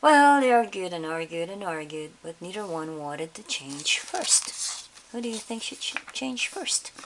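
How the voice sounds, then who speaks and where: A woman reading a picture book aloud to children in an expressive storytelling style, pausing to put questions to her listeners. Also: on the low side. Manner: pauses to question young listeners. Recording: faint background hiss.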